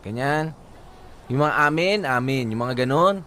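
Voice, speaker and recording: A man's voice: mid-range voice, moderate pace, a bit bright, a little hiss or hum. A man hosting a radio show as a DJ, in casual Filipino radio style. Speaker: radio DJ and host. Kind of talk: casual radio banter and letter reading.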